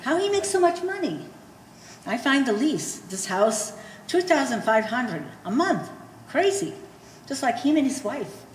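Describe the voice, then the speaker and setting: A woman's voice: high voice, sing-song, measured pace. A woman playing a blunt, scornful old Polish immigrant mother in a stage play, speaking broken English with a Polish accent. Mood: baffled, exasperated. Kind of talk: one-act stage play performance. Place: gallery stage performance, indoors. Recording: echoey hall with faint noise.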